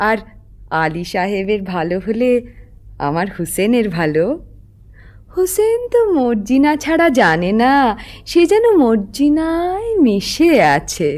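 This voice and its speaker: A woman voices a loyal, watchful young maidservant in a cartoon. The voice is a little high, with lively, theatrical rises and falls. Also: takes it slowly. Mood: fond, devoted.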